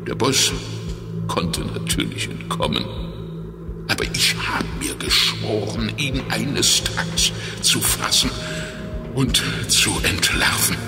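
A wounded former crook turned detective , played by a male actor speaks very low and slowly. He is weary and strained, halting often as he confesses, yet stays determined. It is an audio drama with music and a long echo around the voice. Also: expressive. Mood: weary confessing. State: weak and exhausted from injury. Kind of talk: German children's audio drama. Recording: echoey reverberant hall, music underneath.

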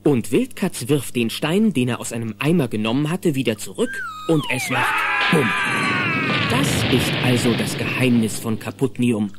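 A man's voice: medium pitch, huge pitch swings, moderate pace, shouting. A man narrating a children's audio drama in a dramatic, playful scripted storytelling style. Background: faint music.

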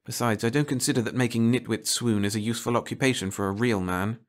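A man's voice: medium pitch, fairly even intonation, fast talker, natural voice. Man voicing a dry, sardonic woman agent. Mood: scolding. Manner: dry, scolding. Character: tough, sardonic woman agent, briskly unimpressed.

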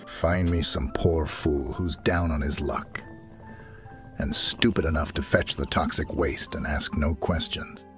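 A man voicing a greedy, scheming cloaked villain in an animated comic, gloating in a drawn-out way. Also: a bass voice, faint music behind. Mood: gloating greed.

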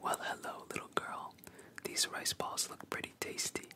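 A storyteller voicing a spoiled, bullying young captain's son in a breathy whisper, as in a manga retelling. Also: normal speed, close to an everyday voice. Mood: mock friendly. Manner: bullying, boastful.

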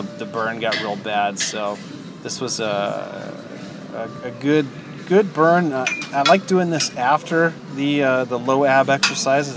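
A man in his thirties talking casually about his gym workout, with plenty of ums and uhs. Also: medium pitch. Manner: relaxed, many ums and uhs. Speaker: fitness vlogger.

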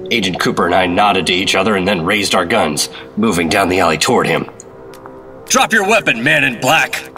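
A hardboiled 1950s G-man, acted by a man tells his case in a low voice with clipped radio-drama delivery. He is determined and wry, and loud music plays behind him. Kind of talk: old-time radio drama narration and dialogue.

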